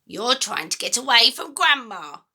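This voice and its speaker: A woman voicing a sinister, gleeful old grandmother from a children's storybook, throaty and whispery, with a drawn-out, menacing delivery. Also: fairly high pitch, very animated, pushed and bright, breathy edge, voice pushed up, heavily acted. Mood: sly, menacing. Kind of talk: dramatic children's storybook reading.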